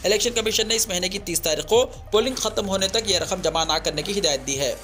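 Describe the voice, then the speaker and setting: A man's voice: high-pitched, fast, bright, forceful tone, faint music behind. A man reads a scripted news bulletin in a formal, declarative way. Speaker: news reader.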